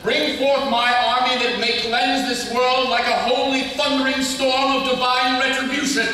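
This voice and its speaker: A man's voice: very high voice, flat delivery, normal speed, pushed and bright, recorded from a distance. A man playing an earnest, heroic secret agent at a live table read in an echoey convention hall. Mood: urgent, heroic.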